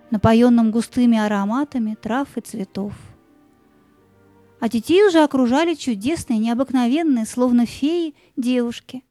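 A woman narrating a scripted fairy tale in a gentle, lyrical storytelling manner. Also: on the high side.

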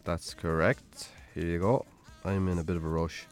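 An actor with an Irish accent playing a troubled, defensive, hard-drinking farmer in a radio drama, gruff, curt and sweary. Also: male voice, deep voice, level tone, moderate pace, soft background music. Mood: curt, impatient. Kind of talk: naturalistic radio drama acting.

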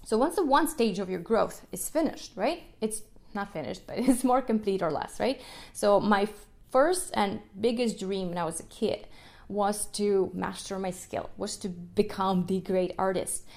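A young woman from Ukraine, a native Russian speaker, hosts her own podcast in a lively, animated way. Her voice sits at a middle pitch, and she keeps checking in with her listeners and laughing as she talks. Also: unhurried, creaky. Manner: animated, frequent "right?" tags and laughs. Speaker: podcast host, music educator. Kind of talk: solo podcast talk.

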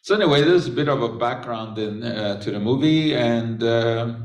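A man giving an unscripted science talk, unhurried, with frequent pauses and filler words. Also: medium pitch, expressive. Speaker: chemistry lecturer. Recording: echoey room, voice from a distance.

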